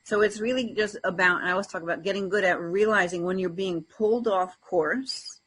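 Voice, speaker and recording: A woman's voice: medium pitch, brisk, a bit bright, a little hiss or hum, poor audio. A woman co-hosting a podcast, explaining things conversationally and encouragingly, often checking for agreement. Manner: encouraging, often seeks agreement with tag questions. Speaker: podcast co-host, spiritual energy coach.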